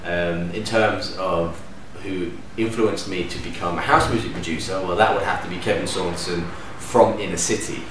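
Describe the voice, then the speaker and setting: A man in his thirties from Denmark, a DJ and producer, answering interview questions to camera in a relaxed, enthusiastic way with lively hand gestures. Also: deep voice, moderate pace, hardly any pauses. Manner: relaxed, enthusiastic, gestures with hands while talking. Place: living room at home, indoors. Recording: echoey room, distant mic, faint hum.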